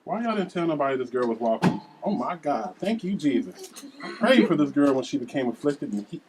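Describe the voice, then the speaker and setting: A woman's voice: very deep voice, very animated, fairly quick, background noise. A woman voicing a bold, flirty female neighbor in a family drama table read. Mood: amazed, devout.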